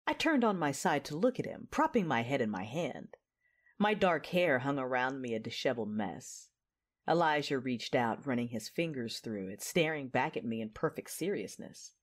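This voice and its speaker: A woman playing a resolute, battle-hardened female Jedi who tells her story in measured, dramatic first-person narration for an audio drama. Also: rumbling low, big pitch swings, heavily acted. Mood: intimate, reflective. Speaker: narrator and lead character.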